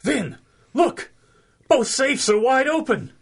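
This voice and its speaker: An actor playing a nervous, jittery swindler's sidekick in an urgent radio crime drama style. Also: male voice, extremely high pitch, very animated, slow pace, vocal fry, breathy edge, heavily acted. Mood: anxious.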